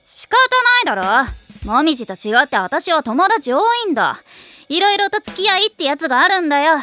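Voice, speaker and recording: Anime tomboy schoolgirl, high voice, bright and forceful, rough slang, blunt, hot-tempered. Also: big pitch swings, brisk, faint music behind, heavily acted. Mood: irritated, defensive. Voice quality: rough, slangy boyish speech. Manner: blunt, defensive. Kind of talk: anime voice acting.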